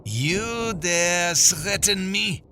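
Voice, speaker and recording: A man voicing a haughty, menacing older villain in a fantasy game fan dub, gravelly and theatrically pompous. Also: sits high, expressive, extremely slow, bright, forceful tone, slight background noise, exaggerated voice. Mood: menacing, indignant.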